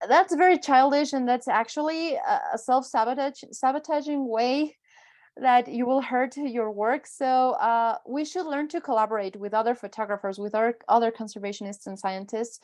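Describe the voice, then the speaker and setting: A woman's voice: a little high, normal speed, darkish tone. A woman panelist giving conversational, earnest advice and explaining her points step by step.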